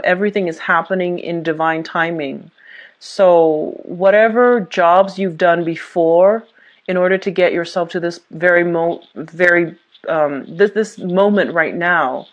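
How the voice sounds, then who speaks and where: A woman with a fairly low voice gives a tarot reading straight to her viewers. She reassures them in an easy, conversational way and often pauses on fillers and restarts her sentences. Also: muffled recording. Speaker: tarot reader.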